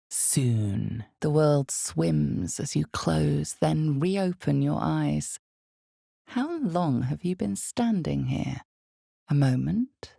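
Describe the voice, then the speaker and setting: An actress playing the grave, ominous narrator of a dark fantasy video game, speaking in a measured, theatrical way with a deep, gravelly voice. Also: female voice, no echo, studio quality, heavily acted. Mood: grave, brooding. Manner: measured, deliberate, brooding, theatrical. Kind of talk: dramatic scripted second-person fantasy narration.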